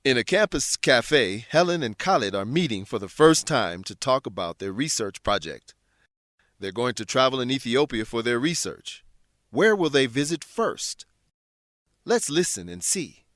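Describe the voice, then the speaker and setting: A man narrating an English-learning radio drama as host, scripted, clear, instructive and welcoming. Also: medium pitch, very animated, moderate pace, a bit bright, no echo, studio quality.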